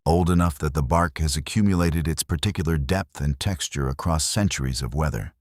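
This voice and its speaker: A man's voice: rumbling low, a little fast, darkish tone, no room sound, studio quality. A man narrating a scripted sleep meditation in a contemplative, soothing manner.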